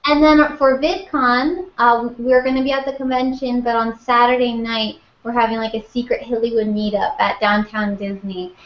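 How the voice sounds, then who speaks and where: A woman's voice: on the high side, steady tone, moderate pace, hardly any pauses. A woman chatting as a guest, bubbly and laughing, with lots of "like". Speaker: guest youtuber. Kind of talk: casual chat. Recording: dull lo-fi audio in an echoey room.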